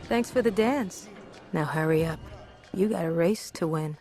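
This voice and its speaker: Actress as low-voiced, brash street racer woman. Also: a little slow, darkish tone, soft background music, lightly acted. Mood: bossy, cool. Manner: assertive, wry, streetwise. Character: tough, brash female street racer and gang schemer. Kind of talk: video game cutscene acting.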